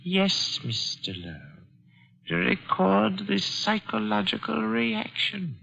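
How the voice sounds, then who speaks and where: A commanding rich uncle in an old radio play, gravelly and husky, with a voice that rises and falls widely. He speaks in measured, formal phrases. The recording is dull and lo-fi. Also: high-pitched, sing-song, sharp tone, heavily acted. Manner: measured, formal, precise speech. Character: wealthy older man, formal, commanding uncle. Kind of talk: 1940s radio acting.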